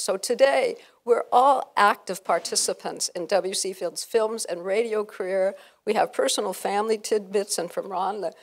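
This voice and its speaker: An older American woman giving an informal, effusive talk to an audience on a handheld microphone, emphatic and sometimes halting. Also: medium pitch, vocal fry. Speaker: event presenter. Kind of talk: informal live public talk. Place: hotel conference room before a seated audience.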